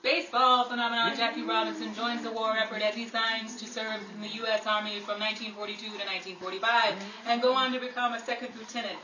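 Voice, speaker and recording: A woman narrating a scripted documentary-style radio program. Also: on the high side. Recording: roomy, distant mic, noisy background.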